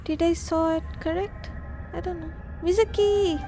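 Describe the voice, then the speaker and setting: A woman's voice: very high-pitched, fairly even intonation, normal speed, music in the background. A woman with an Indian accent reacting casually as she streams a game.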